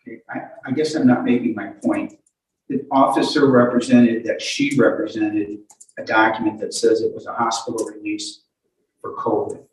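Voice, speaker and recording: A man working as a lawyer in a courtroom, questioning in a halting, repetitive, insistent way. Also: fairly low pitch, steady tone. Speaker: defense lawyer questioning a witness. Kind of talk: courtroom cross-examination. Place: indoor courtroom. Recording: echoey courtroom, distant microphone.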